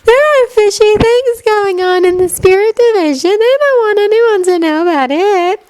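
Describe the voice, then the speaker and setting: A woman voicing a dreamy, bluntly honest teenage witch, speaking slowly in an airy voice. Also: extremely high pitch, a little mellow, dry recording, voice pushed up.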